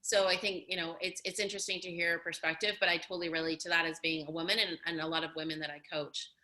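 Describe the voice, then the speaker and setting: A chatty woman hosting an interview, effusive and full of "you know" and "like". Her voice is bright and forceful but pitched on the low side for a woman, and she stays loose and conversational throughout. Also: little pitch movement, quick pace, low-quality recording. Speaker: host and coach.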